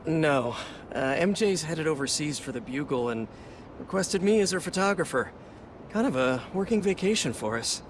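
Man playing a lively, jokey superhero mentor. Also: a little high, normal speed, a little hiss or hum, lightly acted. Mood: reassuring. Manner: breezy, playful reassurance. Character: encouraging, jokey young adult male veteran superhero mentor. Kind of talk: naturalistic video game voice acting.

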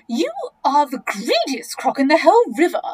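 A woman's voice: high-pitched, big pitch swings, normal speed, darkish tone, voice pushed up. A woman voicing a smaller, scornful crocodile in a children's storybook, contradicting with scoffing emphasis. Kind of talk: children's storybook character reading.

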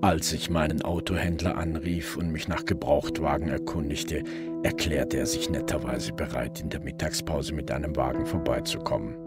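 Very deep brooding detective narrator. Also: male voice, fairly even intonation, moderate pace. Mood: brooding, measured. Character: brooding male detective narrating his investigation. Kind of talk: scripted first-person crime drama narration. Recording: prominent music bed behind narration.

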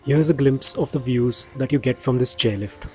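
A man giving scripted voice-over narration for a travel vlog, in a measured, informative way. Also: mid-range voice, faint music behind.

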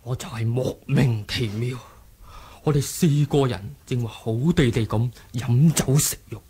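An actor playing a bewildered, weakened fighter pleading for an antidote in a 1980s radio drama. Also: male voice, medium pitch, unhurried, dark tone, a little hiss or hum, close to an everyday voice. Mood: weak pleading. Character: poisoned, pleading junior fighter, bewildered and weak.

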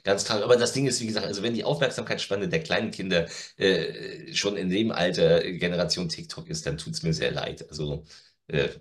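Low-voiced, blunt middle-aged man chatting. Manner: blunt, opinionated, halting with self-interruptions. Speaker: co-host of an audio drama news show. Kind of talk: casual chat reviewing new releases. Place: living room at home, on a video call.

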